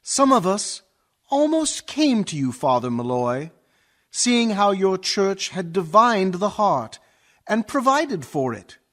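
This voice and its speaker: A man's voice: high voice, big pitch swings, slow, a bit bright, heavily acted. A man acting a doubting townsman who fondly mourns a village priest in an elegiac verse monologue for a radio play. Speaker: actor in a community theatre radio play.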